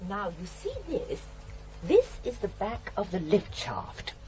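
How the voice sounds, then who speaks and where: An actress playing a genteel, chatty older British murderess in a radio drama, prim and sweetly matter-of-fact. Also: female voice, mid-range voice, wildly swooping pitch, takes it slowly, darkish tone, highs cut off. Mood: brightly matter-of-fact.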